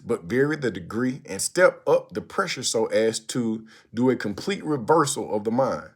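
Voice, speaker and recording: A man reciting a written speech aloud in a deliberate, oratorical manner, with emphatic phrasing and pauses. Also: medium pitch. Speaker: narrator reading a historical speech aloud.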